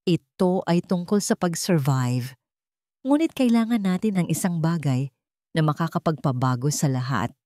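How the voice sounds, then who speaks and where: A woman's voice: low voice, animated, normal speed, dark tone, no echo, studio quality. A woman narrator voicing a brooding older sister, grave and determined, in a dramatic audio story. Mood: grave resolve.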